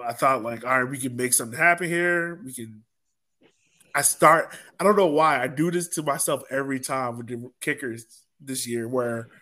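A man's voice: a little high, a bit bright. A man hosting a podcast with casual sports chat, talking like a rueful, self-deprecating fan. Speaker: podcast host.

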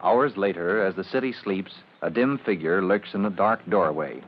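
A man's voice: sits low, moderate pace. Dramatic male radio serial announcer. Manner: dramatic, formal delivery. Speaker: narrator and announcer. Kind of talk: 1940s-style radio serial narration, scripted. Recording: muffled old radio recording.

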